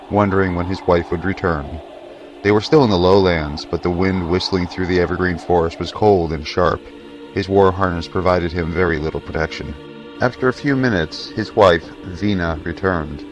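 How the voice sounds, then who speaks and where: A man's voice: sits low, lo-fi sound. A man narrating a scripted fantasy tale as the storyteller of an audio drama. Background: faint music.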